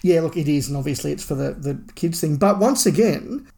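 A man's voice: on the high side, lively intonation, brisk, gravelly, mellow. A man co-hosting a podcast, giving opinionated, emphatic views in a casual review discussion.

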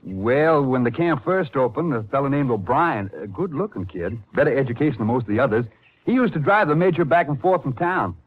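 An actor playing a friendly, gossipy work-camp section leader in an old-time radio drama, easygoing and informal. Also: male voice, medium pitch, lively intonation, a little fast, slightly rough. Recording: tinny, band-limited 1950s radio broadcast.

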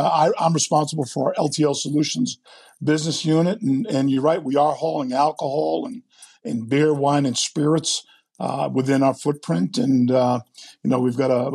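A man from Rhode Island, a trucking executive answering interview questions in a steady, businesslike way with many fillers. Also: on the high side, vocal fry, breathy edge.

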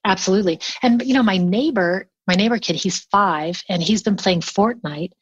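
A woman's voice: mid-range voice, moderate pace, dull audio, slight background noise. A woman chatting casually as an interview guest, telling things in an enthusiastic, anecdotal way. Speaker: remote collaboration workshop teacher.

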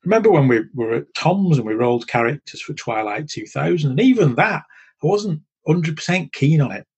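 A man's voice: fairly high pitch, expressive, a little fast, darkish tone, slightly rough, lo-fi sound. A middle-aged man, chatting wryly and restarting his sentences on a gaming podcast. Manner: chatty, stumbling restarts, wry. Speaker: podcast co-host. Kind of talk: casual chat.

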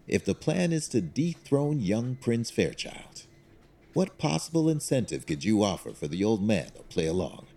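A man plays a fatherly older executive, a shrewd and loyal advisor in a radio play. The voice is mellow and husky, with very animated, swooping intonation and a wry, deliberate delivery. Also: fairly low pitch. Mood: wry, fond. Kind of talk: audio drama acting.

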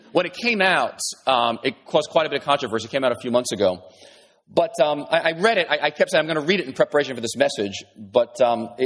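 Man, pastor, husky voice, chatty sermon, restarts and asides. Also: lowish voice. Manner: conversational preaching with many restarts and asides.

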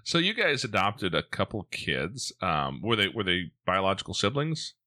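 A man's voice: sits low, very animated, moderate pace, sharp tone. A man with an American Midwest accent hosting a podcast in a casual, chatty interview style. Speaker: podcast host.

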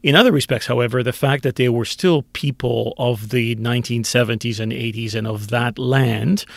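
A man's voice: medium pitch, moderate pace, gravelly, no room sound. A man in his fifties from Greece, answering interview questions about his life in a candid, self-deprecating way. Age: 50s. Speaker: author guest.

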